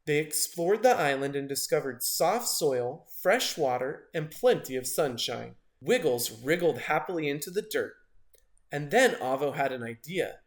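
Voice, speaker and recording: Male storybook narrator, fairly high-pitched, highly varied intonation, dramatic children's read-aloud. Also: expressive, a little slow.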